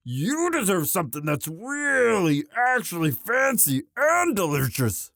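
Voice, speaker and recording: Orc boyfriend, male performer: sheepish, devoted muscle, halting unhurried delivery, very dry close sound, romantic audio drama. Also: high voice, sing-song, fairly bright tone, dry recording, heavily acted. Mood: sheepish, eager. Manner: halting, sheepish, stammering with many uhs. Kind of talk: romantic roleplay audio monologue.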